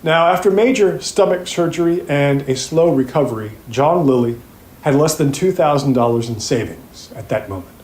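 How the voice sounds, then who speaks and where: Man playing a measured prosecutor in an echoey room. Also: on the high side, a little mellow. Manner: measured, deliberate, rhetorical, with dramatic pauses. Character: methodical, pointed male prosecutor addressing a jury. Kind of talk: courtroom opening statement, audio drama monologue. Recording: roomy, echoing sound.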